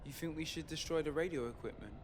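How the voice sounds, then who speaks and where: Actor as high-voiced, earnest rebel man, reverberant. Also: fast, lightly acted. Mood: quiet conviction. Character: earnest, determined male rebel in dystopian city. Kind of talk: audio drama dialogue. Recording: long reverb, faint background noise.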